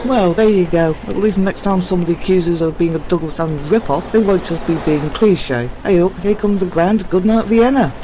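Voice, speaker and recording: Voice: medium pitch, fairly quick, few pauses, close to an everyday voice. A performer playing a chatty, wry, bewildered sidekick who rambles through a comic audio drama. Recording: noisy background ambience.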